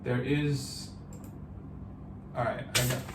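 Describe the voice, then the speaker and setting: Halting, flat-voiced man in his thirties. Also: medium pitch, heavy background noise. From United States. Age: 30s. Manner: halting, reflective, many fillers and restarts. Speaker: vlogger. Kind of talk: personal vlog monologue.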